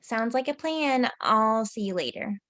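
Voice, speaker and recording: Snobby rich girl, smug, slow, dry close sound, woman reading. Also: a little high, lively intonation, a bit bright, dry recording. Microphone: video call mic.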